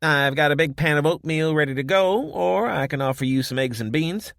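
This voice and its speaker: A woman's voice: sits low, animated, normal speed, creaky, no room sound, clean studio sound, voice pushed up. A man voicing a cheerful, teasing woman who runs a small café kitchen. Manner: teasing, bantering. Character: cheerful sharp-tongued woman café cook.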